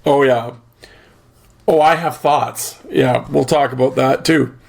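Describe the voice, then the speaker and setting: Gravelly man hosting a chatty podcast. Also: mid-range voice, fairly even intonation, slow, darkish tone. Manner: rambling, chatty, joking, lots of fillers. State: losing his voice, clearing his throat. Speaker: podcast host. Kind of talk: casual solo podcast chat.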